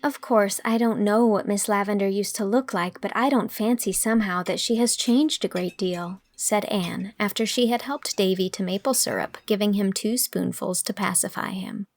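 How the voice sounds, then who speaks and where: A woman narrating an audiobook drama in a storytelling style, reading both the description and gentle dialogue. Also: mid-range voice, moderate pace, hardly any pauses.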